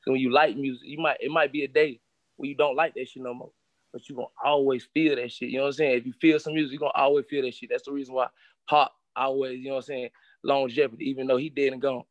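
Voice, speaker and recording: A man's voice: medium pitch, little pitch movement, fast talker, dry recording, highs cut off. A young man in his twenties from Charlotte, a rapper guest talking in streetwise slang and constantly saying "you know what I'm saying". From Charlotte, North Carolina. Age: 20s. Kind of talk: casual interview.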